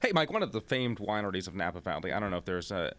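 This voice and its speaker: A man's voice: low-pitched, sing-song, fast talker, fairly bright tone, dry recording. A man co-hosting a talk radio show, introducing the guest with stumbling restarts.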